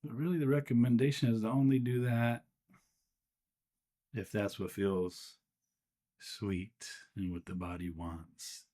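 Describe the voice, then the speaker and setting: A man leading a guided meditation as the guide, speaking gently and without hurry, often pausing mid-sentence. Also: lowish voice, fairly even intonation.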